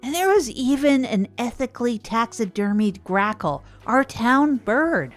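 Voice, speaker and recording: A woman's voice: fairly high pitch, big pitch swings, a little slow, faint music behind, pitched above the performer's own voice. A woman playing an enthusiastic, overwhelmed small-town reporter, narrating conversationally in a comedy audio drama. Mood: enthusiastic recounting. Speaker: narrator and series creator.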